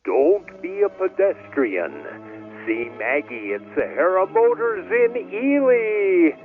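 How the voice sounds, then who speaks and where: A man's voice: high voice, huge pitch swings, takes it slowly, exaggerated voice. A man playing a sinister, mysterious narrator in an old-time radio mystery parody, melodramatic and drawn out. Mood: mock-dramatic. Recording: dull lo-fi radio ad with music.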